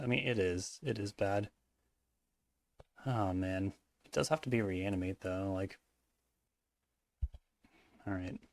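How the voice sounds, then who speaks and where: A man casually commentating his own card game match, thinking aloud in mumbled asides, his voice hoarse and cracking at times. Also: fairly low pitch, no echo.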